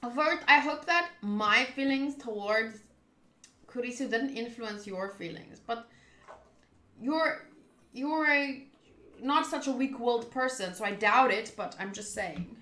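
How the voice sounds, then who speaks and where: A woman's voice: on the high side, unhurried, sharp tone. A chatty woman livestreaming a visual novel, reading its narration aloud and commenting casually in reaction to the game and viewers. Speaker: game streamer. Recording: roomy echo.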